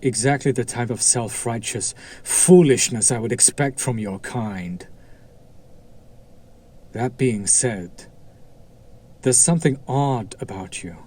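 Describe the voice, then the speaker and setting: A man playing a smug, seductive demon in a roleplay audio drama, coaxing and condescending with deliberate pauses and a teasing menace. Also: medium pitch, dark tone, a little hiss or hum, close to an everyday voice. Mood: scornful, intrigued.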